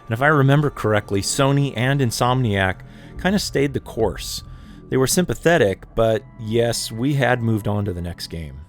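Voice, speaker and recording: Mid-pitched man recalling events in interview. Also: expressive, moderate pace, soft background music. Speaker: former game developer, interviewee. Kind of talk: interview recollection.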